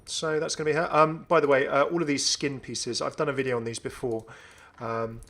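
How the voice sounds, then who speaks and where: A man hosting a gaming video with casual, enthusiastic commentary in a creaky voice. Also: medium pitch, fairly even intonation, fairly quick, a bit bright. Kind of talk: casual commentary on a game update.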